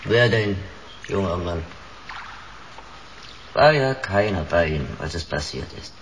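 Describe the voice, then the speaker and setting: A gravelly, unhurried busybody neighbour in a radio play. He gossips in short, folksy bursts, drily amused and sure he knows it all, with some noise behind him. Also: low voice, sing-song, exaggerated voice. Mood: knowing. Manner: clipped rambling sentences, folksy and knowing. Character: nosy, talkative neighbour who sees everything, drily amused. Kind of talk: German radio drama acting.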